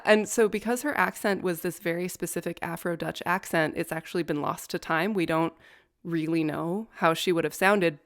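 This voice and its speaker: A low-pitched young woman co-hosts a chatty podcast. She tells history with dry, profane humor. Her voice sits deep for a woman and stays relaxed and conversational. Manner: wry, profane, nerdy about law. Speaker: podcast co-host. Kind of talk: casual storytelling chat.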